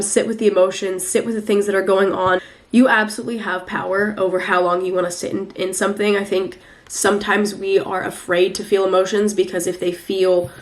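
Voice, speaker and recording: A young woman with a stuffy, nasal voice from a recent flu. She talks through a tarot reading in a loose, chatty way and trails off into fillers. Her pitch sits in a middling range. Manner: chatty, rambling, many fillers. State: congested from the flu. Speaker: tarot reader. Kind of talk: casual weekly tarot reading.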